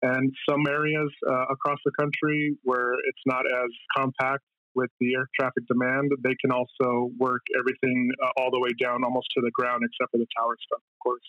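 A man's voice: mid-range voice, completely flat delivery, fairly quick, no echo, lo-fi sound. A middle-aged man giving casual, explanatory answers as an interview guest. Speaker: interview guest, air traffic controller.

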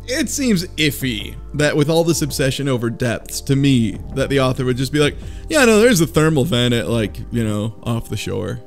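A man's voice: mid-range voice, normal speed. Rambling man chatting over music. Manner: rambling, animated retelling with acted-out quotes. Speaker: podcast panelist. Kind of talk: casual chat recapping a visual novel.

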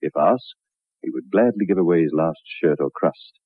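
An actor playing a worldly, knowing narrator in a 1960s radio drama, measured and wry. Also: male voice, low voice, lively intonation, husky, dry recording. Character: worldly, knowing male storyteller. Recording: muffled, narrow-band 1960s radio recording.

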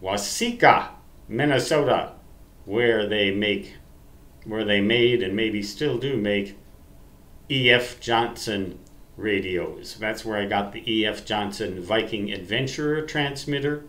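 An American man in his sixties with a fairly low voice talks slowly and haltingly, reminiscing in a folksy way. He pokes fun at himself as he rambles. The sound is echoey, like a webcam in a room at home. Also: a bit bright. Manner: folksy, self-deprecating, halting. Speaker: amateur radio vlogger.

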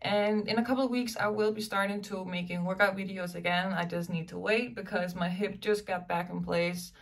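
Young woman w a cold, bit hoarse, chatty makeup vlog talk, mic kinda far off in her bedroom. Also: medium pitch, fairly even intonation, a little fast. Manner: chatty, keeps saying "you guys". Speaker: beauty vlogger. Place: bedroom with clothes rack behind.

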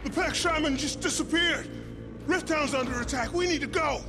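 A man's voice: very high-pitched, normal speed, music in the background. A man playing a panicked comrade in a video game cutscene, calling out an urgent alarm.

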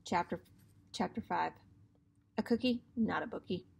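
A woman's voice: lowish voice, moderate pace, gravelly, a little mellow, breathy edge. A woman in her thirties reading a children's book aloud as a teacher, acting out the dialogue expressively.

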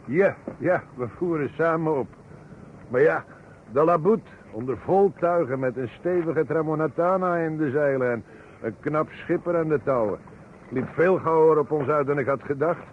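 Actor as chatty witness, muffled radio play. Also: male voice, a little high, moderate pace, natural voice. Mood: chatty, wary. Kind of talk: Dutch radio drama acting. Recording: muffled, noisy old radio recording.

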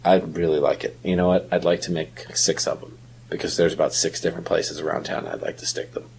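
A man with a husky voice in casual conversation as a podcast co-host. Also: low-pitched, steady tone, a little fast, darkish tone, a little hiss or hum. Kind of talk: casual chat.

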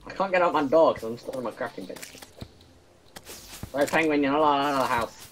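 A man's voice: mid-range voice, fast talker, a bit bright, slight background noise. A man making wry, sarcastic remarks while playing a video game with friends. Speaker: game player. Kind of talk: casual gaming chat.

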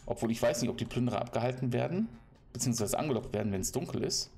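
A man's voice: mid-range voice, lively intonation, racing, creaky, darkish tone, faint music behind. A German man giving casual, playful commentary while playing a game, chatting to the animals and villagers he meets. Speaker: let's play commentator.

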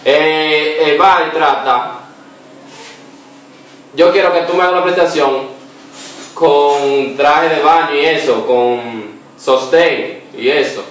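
A young man making a prank phone call from a couch, halting and repeating himself, holding back laughs. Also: on the high side, a bit bright. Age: young adult. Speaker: prank caller. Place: small living room, seated on a couch. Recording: echoey room, far from the mic.

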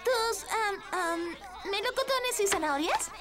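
A woman voicing a sweet, helpful little girl in a cartoon, gentle and tactful. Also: very high-pitched, expressive, unhurried, over loud music. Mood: tactful, hesitant. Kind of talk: animated cartoon dub acting.

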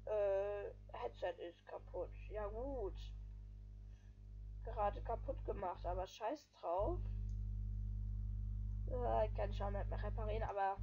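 A woman's voice: medium pitch, slow, pauses often, dark tone, dull audio, a little hiss or hum. A woman giving casual, rambling voice-over commentary on her gaming video, stringing thoughts together with frequent filler phrases.

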